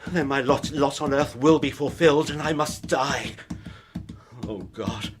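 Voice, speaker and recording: An actor playing a tormented, vengeful scientist worn down by grief, speaking in a solemn, declamatory style in a gothic radio drama. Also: male voice, a little high, a little slow, lightly acted. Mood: anguished. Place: festival theatre stage. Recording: live stage recording with clear noise.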